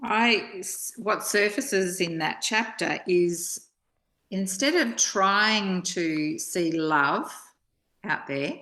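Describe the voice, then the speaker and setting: Woman, slightly low pitch, slow pace, contemplative pauses, reflective spiritual talk, echoey room sound. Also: sharp tone. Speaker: study discussion partner. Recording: roomy, echoing sound.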